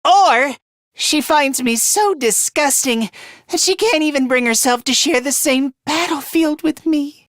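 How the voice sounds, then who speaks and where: A man's voice: extremely high pitch, unhurried, fairly bright tone, no echo, clean studio sound. A man voicing a timid, self-loathing young male student fighter in an English video game, hesitant and stammering as he puts himself down. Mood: glum, self-loathing.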